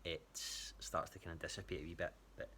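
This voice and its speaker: Low-pitched Scottish man, casual update. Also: little pitch movement, brisk, sharp tone, a little hiss or hum. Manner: hesitant, rambling. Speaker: athlete giving a training check-in to a coach.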